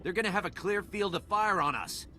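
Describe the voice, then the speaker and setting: An actor playing a wary, suspicious army sergeant in a video game, with a blunt, familiar manner. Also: male voice, sits high, brisk, pushed and bright, faint music behind. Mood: suspicious, grudging.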